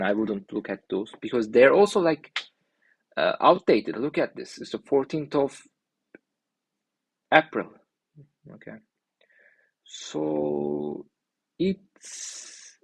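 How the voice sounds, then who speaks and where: A young man from Turkey with a Turkish accent, thinking aloud in halting, filler-heavy speech while he reviews an app on screen. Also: on the low side, vocal fry, a little mellow, slightly rough, dry recording, rough recording. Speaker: indie maker reviewing an app. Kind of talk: casual screen-share commentary.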